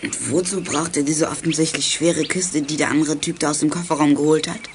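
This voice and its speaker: A man's voice: fairly high pitch, fairly even intonation, fairly quick, background noise, close to an everyday voice. An actor playing an alert teenage boy detective in a children's radio play, speaking in a clipped, watchful way. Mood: hushed, alert.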